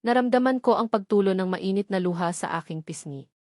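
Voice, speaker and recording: A woman's voice: mid-range voice, a little fast, darkish tone, dry recording. Woman, Filipino accent, story narrator, dramatic scripted first-person delivery, dry recording.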